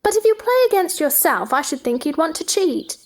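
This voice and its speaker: A woman's voice: high voice, sing-song, fairly quick, voice pushed up. A woman voicing a chatty, curious little girl with an eager, inquisitive manner in a storybook play reading. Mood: chatty, playful.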